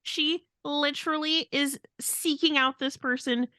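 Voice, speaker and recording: Bright, exasperated woman podcaster. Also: high voice, steady tone, measured pace, no room sound, studio quality. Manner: exasperated, blunt, emphatic. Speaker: podcast host. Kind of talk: casual venting podcast chat.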